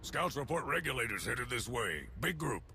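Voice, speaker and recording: An actor playing a gruff, wry ex-foreman turned militia fighter in a video game, blunt and gravelly. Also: medium pitch, very animated, normal speed, sharp tone, a little hiss or hum, exaggerated voice. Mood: gruff, resolute. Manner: blunt, sardonic, plain-spoken. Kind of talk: video game cutscene acting.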